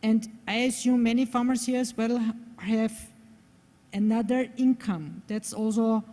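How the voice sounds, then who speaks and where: A woman's voice: on the high side, dark tone. A woman from Austria, speaking English as an on a forum panel, searching for her words. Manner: thoughtful, searching for words, speaking a second language. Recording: long hall reverb.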